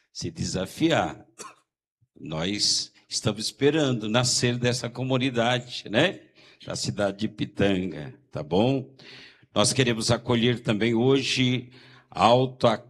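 A Brazilian man, a parish priest, welcoming visitors at a novena service in a slow, pausing way. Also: fairly low pitch, slightly rough. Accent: Brazilian Portuguese.

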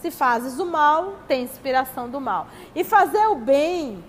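Brazilian woman, spiritist lecturer, high voice, teacherly and rhetorical, echoing hall. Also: animated, a little slow. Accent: Brazilian Portuguese. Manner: teacherly, rhetorical questions answered herself. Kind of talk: religious study talk, reading and commenting on texts. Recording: long hall reverb, faint background noise.

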